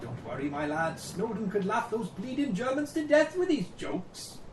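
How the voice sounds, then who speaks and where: In an echoing, noisy space, a man plays a British soldier in a war radio play. He is matey and wryly grumbling, with a strikingly high voice. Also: very animated, normal speed. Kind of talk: dramatic dialogue in an audio play. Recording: roomy, distant, clearly noisy recording.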